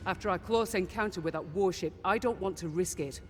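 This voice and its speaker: An actress playing a businesslike, composed mercenary ship officer in a video game. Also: female voice, on the low side, a little fast, a little mellow, a little hiss or hum, lightly acted. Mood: composed, serious. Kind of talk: cinematic game voice acting.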